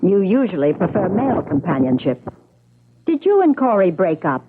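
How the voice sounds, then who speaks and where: A woman's voice: fairly low pitch, very animated, husky. An actress playing a nosy, practical female friend in an old-time radio drama, probing and incredulous. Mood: curious, incredulous. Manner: probing, incredulous, brisk opinions. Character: nosy, practical girlfriend pressing for confidences. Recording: thin phone-like old radio recording.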